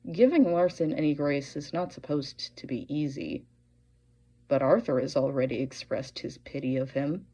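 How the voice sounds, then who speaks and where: A woman delivering a prepared monologue for a voice message, deliberate and rhetorical. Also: deep voice, expressive, mellow, rough recording. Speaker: listener sending in a voice message.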